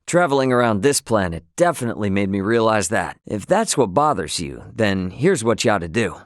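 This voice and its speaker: Game role: brash young male space captain, slangy and good-hearted, lively swooping pitch, dry close sound. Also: brisk, no echo. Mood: reflective. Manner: casual, slangy, plain-spoken. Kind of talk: video game voice acting.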